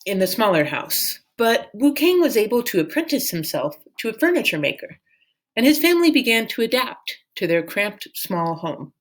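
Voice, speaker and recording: A woman narrating a storybook as an expressive read-aloud. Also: medium pitch, normal speed. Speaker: storyteller reading a book aloud.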